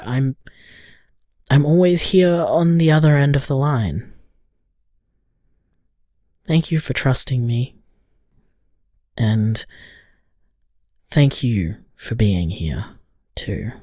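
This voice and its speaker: Male actor as devoted friend in intimate audio drama. Tender, sincere, very unhurried, dry close sound. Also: mid-range voice, very animated, mellow, no room sound, exaggerated voice. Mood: tender, reassuring. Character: caring, devoted male friend leaving a reassuring voicemail.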